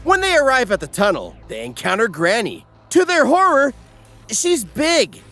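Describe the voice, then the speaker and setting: A man's voice: very high voice, wildly swooping pitch, moderate pace, a bit bright. A man narrating a scripted comedic recap in a theatrical, crude, sardonic way. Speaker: narrator and host. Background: background music.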